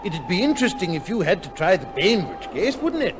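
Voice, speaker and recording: An actor playing a genial, chatty barrister with a breezy manner in an old-time radio drama. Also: male voice, very animated, fairly quick. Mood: chatty, curious. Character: genial, chatty barrister acquaintance met on train. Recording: noisy old radio, vehicle rumble behind.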